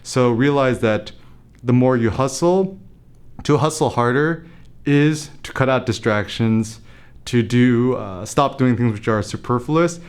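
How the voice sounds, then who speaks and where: A man's voice: mid-range voice, unhurried, clear, resonant tone. A young American man, a photography blogger, talking casually to camera and thinking aloud, with fillers and restarts. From United States. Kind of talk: casual motivational talk to camera.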